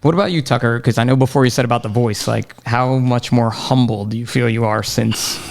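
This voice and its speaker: A man in his thirties with a creaky, gravelly voice at medium pitch. He chats loosely and informally into a podcast mic, like one buddy to another. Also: fairly even intonation, fairly quick. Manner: agreeable, informal dude-talk. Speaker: podcast host. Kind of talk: casual chat. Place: small podcast room indoors at a table. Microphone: podcast microphone on a stand.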